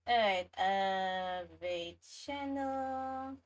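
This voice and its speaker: Female streamer, mid-range voice, unhurried and easygoing, casual off-the-cuff chat with hesitant, drifting phrasing. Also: medium pitch. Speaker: livestreamer, VTuber host. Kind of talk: casual livestream chat and game reactions.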